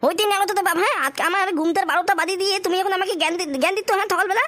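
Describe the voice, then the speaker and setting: Bratty cartoon boy, extremely high pitched-up squeak, breakneck pace, crude and whiny. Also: fairly even intonation, racing, pushed and bright. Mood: irritated. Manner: whiny grumbling, crude, repeated question tags. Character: grumpy, foul-mouthed bratty boy. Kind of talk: amateur comic cartoon dub.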